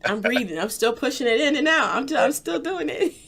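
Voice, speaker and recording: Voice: high voice, animated, vocal fry, a bit bright. An interview host with a stuffy nose, affirming the guest often and chatting casually. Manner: friendly, affirming, quick to laugh.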